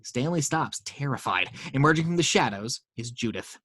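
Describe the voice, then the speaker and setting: Male narrator, mid pitch, steady read with small slips, dry. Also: normal speed, slightly rough, no echo. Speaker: narrator reading action lines.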